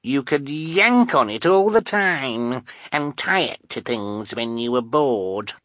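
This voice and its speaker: A man's voice: mid-range voice, animated, slow, fairly bright tone, dry recording, pitched above the performer's own voice. A man voicing a spiteful, bratty little schoolgirl in an audiobook story. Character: bratty, self-centred little schoolgirl, Carmelita.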